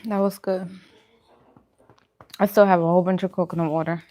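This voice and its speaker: A woman with a slightly low voice talks informally to her viewers. She is chatty and colloquial, in the manner of a casual eating livestream where she answers the chat as she goes. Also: fairly even intonation, fairly quick, dark tone, slight background noise. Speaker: vlogger hosting a mukbang livestream.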